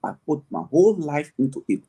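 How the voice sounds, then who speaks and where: A man's voice: a little high, big pitch swings, brisk, dark tone, husky. A middle-aged man with a Liberian accent, a farmer and agribusiness owner being interviewed, explaining earnestly at length with frequent restarts. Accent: Liberian English. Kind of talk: conversational interview answer.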